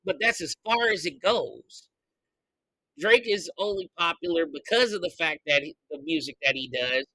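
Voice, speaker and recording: A man hosting a podcast, congested from a recent illness, venting his opinions in a loose, profane, animated way. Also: a little high, normal speed, no echo, low-quality recording. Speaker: podcast host. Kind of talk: casual opinion commentary.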